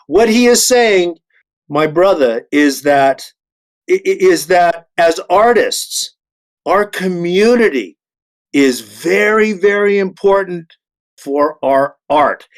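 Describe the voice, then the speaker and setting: A man speaking earnestly in a discussion, halting and repeating words as he makes emphatic points. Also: high voice, lively intonation. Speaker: panelist, theatre artist.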